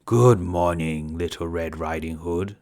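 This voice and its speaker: A man voicing a big wicked wolf in a children's storybook, gruff and slyly polite. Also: deep voice, unhurried, a little mellow, voice pushed down.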